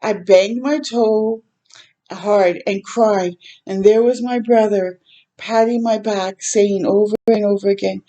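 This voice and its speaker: A woman hosting a children's storytime, reading a picture book aloud in a gentle, expressive way with tender asides to listeners. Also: mid-range voice, slow. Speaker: children's read-aloud host.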